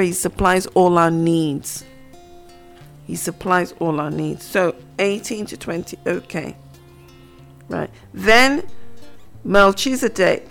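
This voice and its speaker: Female radio Bible teacher, unhurried and reflective, soft guitar bed under her. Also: fairly low pitch, gravelly. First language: not Hebrew. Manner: slow, reflective, reads scripture aloud. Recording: faint guitar music behind. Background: soft guitar music.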